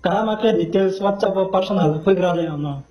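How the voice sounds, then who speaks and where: A man's voice: high-pitched, moderate pace, mellow, faint music behind, poor audio. A man talking informally in a recorded phone conversation.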